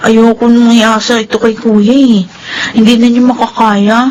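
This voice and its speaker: An actress with a Filipino accent playing an earnest, grateful teenage younger sister in a radio drama, eager and respectful. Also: female voice, a little high, little pitch movement, normal speed, a little mellow, natural voice, poor audio. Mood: grateful, determined.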